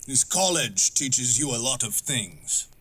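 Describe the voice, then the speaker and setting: A man playing a proud, guarded wilderness guide in an audio drama, laconic, stoic and measured. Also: mid-range voice, sing-song, pushed and bright, husky, full character voice.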